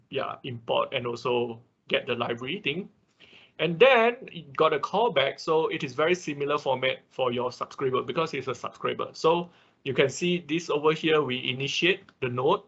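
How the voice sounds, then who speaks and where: Bright, husky man teaching online. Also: on the high side, highs cut off. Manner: repeats words, checks in with "right?" and "okay?". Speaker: instructor of an online robotics programming class. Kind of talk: informal live technical tutorial, explaining code. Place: live online classroom call.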